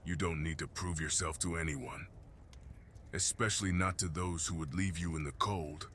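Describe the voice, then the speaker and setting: Casting: male actor as gentle, lonely mountain guardian beast for ASMR fantasy roleplay; very low register, solemn and reassuring, some background ambience. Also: moderate pace, slight background noise. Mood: tender, comforting. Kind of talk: ASMR fantasy roleplay, slow intimate monologue.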